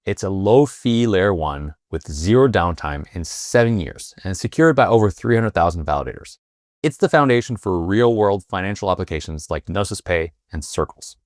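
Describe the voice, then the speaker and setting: A man hosting a podcast interview, asking questions in a halting way with many fillers and restarts. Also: deep voice, lively intonation, no room sound, clean studio sound. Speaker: podcast host. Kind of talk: casual interview with read ad intro.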